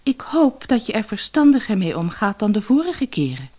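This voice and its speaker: A woman's voice: medium pitch, sing-song, moderate pace, dark tone, voice pushed up. Woman acting exasperated, worried storybook mother. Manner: sighing, exasperated. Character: worried, reproachful, long-suffering mother in a fairy tale.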